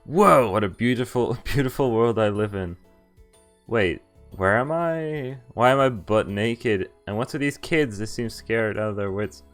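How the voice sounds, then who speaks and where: A man streaming a visual novel, reading its text aloud and chatting in a halting, thinking-aloud way. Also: lowish voice, animated, a bit bright, soft background music.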